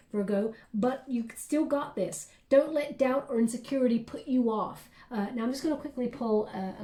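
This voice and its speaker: A woman's voice: on the high side, mellow, reverberant. A woman giving a tarot reading in an encouraging, reassuring way, with frequent fillers. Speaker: tarot reader.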